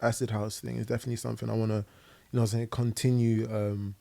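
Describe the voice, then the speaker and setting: A man's voice: on the low side, little pitch movement, fast talker, gravelly, warm tone. A young man with a London accent, a guest musician chatting casually in a podcast interview, full of fillers and "innit". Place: living room podcast set. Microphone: boom mic on arm.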